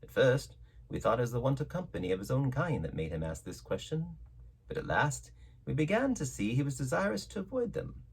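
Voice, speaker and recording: A man reading a classic story aloud as a narrator, with theatrical, measured phrasing and dramatic delivery. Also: mid-range voice, very animated, gravelly, slightly rough. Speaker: audiobook narrator.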